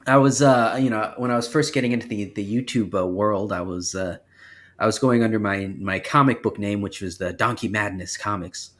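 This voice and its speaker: A male podcast guest from the United States with a slightly low voice. He chats informally and tells his stories in a halting way. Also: fairly even intonation, a bit bright. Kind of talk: casual chat.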